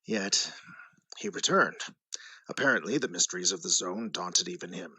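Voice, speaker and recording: A man's voice: deep voice, expressive, normal speed, bright, forceful tone, breathy edge, no echo, full character voice, rough recording. A man playing an eloquent, earnest superhero scientist in an audio drama, speaking in a formal, lecturing way full of wonder. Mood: grave, awed.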